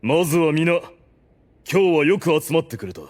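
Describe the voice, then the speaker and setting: Grand, commanding undead overlord. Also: male voice, fairly high pitch, expressive, a little mellow, no echo. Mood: formal, resolute. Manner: grand, formal, measured lordly speech. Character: solemn, benevolent undead overlord, commanding male ruler. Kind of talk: Japanese anime audio drama acting.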